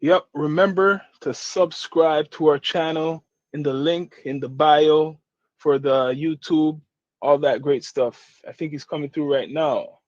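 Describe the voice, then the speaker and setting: A man's voice: a little high, fairly even intonation, unhurried, no room sound, lo-fi sound. A man hosting a casual podcast interview over a remote call, laid-back with slang greetings. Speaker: podcast host.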